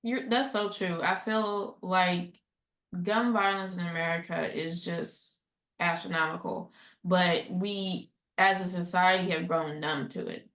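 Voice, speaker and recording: A woman's voice: lowish voice, fairly even intonation, measured pace, fairly bright tone, echoey room. A woman hosting a casual podcast interview, speaking in a conversational, supportive way with trailing sentences. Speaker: podcast host.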